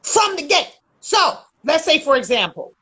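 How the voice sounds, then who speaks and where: A woman relationship coach in a casual conversation, loud, emphatic and blunt, swearing freely. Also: sits high, big pitch swings, a little slow.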